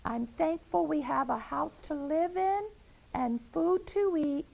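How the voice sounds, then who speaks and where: A woman voicing a proud, bossy mother hen in a children's story, in a high sing-song way. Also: expressive, slow pace, creaky, a little mellow, full character voice. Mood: forgiving.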